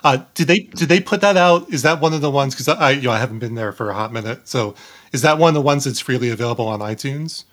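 A man's voice: medium pitch, quick pace, a bit bright. A man hosting a podcast in casual, informal talk, rambling and often interrupting himself. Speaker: podcast host.

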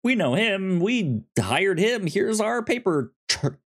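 Slow, halting man chatting casually. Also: sits high, big pitch swings. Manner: halting, with stammered, repeated word starts. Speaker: podcast co-host discussing films. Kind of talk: casual chat.

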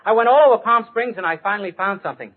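A rapid-talking naive young fellow. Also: male voice, high voice. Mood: sheepish. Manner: innocent, earnest. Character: naive, earnest young man, sweetly dim. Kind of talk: 1950s radio comedy acting. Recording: tinny, muffled old radio recording.

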